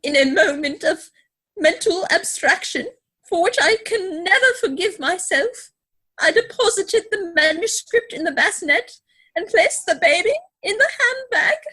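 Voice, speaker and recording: A flustered, prim older governess from a comic stage play. The voice is piercingly high and swings widely up and down. Her delivery is halting, fluttery and full of melodrama. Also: expressive, bright, forceful tone, full character voice, rough recording. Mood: ashamed, confessing.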